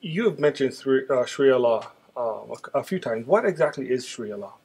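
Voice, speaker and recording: A man co-hosting an interview as a pastor, asking slow, halting questions, farther from the microphone. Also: medium pitch, lively intonation, darkish tone, husky, poor audio. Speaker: pastor, co-host.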